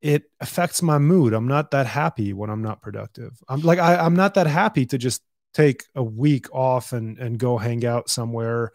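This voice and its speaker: A man's voice: mid-range voice, moderate pace, dry recording. Laid-back male host, dry sound. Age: 20s. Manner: relaxed storyteller, laughs easily. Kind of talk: casual podcast chat.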